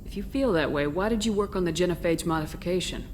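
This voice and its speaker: Woman as low-voiced, quick-talking commander. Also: a little mellow, slight background noise, natural voice. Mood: matter-of-fact. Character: composed, direct female military commander. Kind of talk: video game dialogue.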